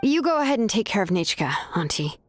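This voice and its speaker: A woman voicing a calm, bookish craftswoman in a video game, explaining things in a measured, scripted way. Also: medium pitch, dry recording, lightly acted. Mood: matter-of-fact. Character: calm, bookish adult craftswoman who forges ancient names.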